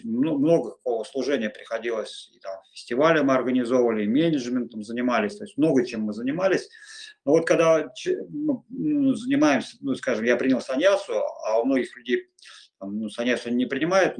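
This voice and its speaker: Мужчина-монах, неторопливо наставляющий, с запинками. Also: male voice, medium pitch, darkish tone. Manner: halting, many fillers and restarts. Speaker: monk giving spiritual guidance. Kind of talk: informal spiritual talk.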